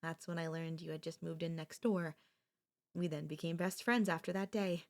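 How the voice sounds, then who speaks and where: An obsessive young woman who dotes on her captive childhood friend, acted out by a woman for an ASMR audio drama. Her voice sits a little low for a woman. She speaks softly and intimately, with a clingy, pleading, possessive devotion. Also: steady tone, moderate pace. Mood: tender, confessional.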